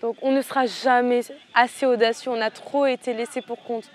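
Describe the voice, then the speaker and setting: Impassioned young woman, high voice. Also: flat delivery, normal speed. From French Guiana. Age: teens. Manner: impassioned, rhetorical, repeats phrases. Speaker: student activist leader. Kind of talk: interview answers.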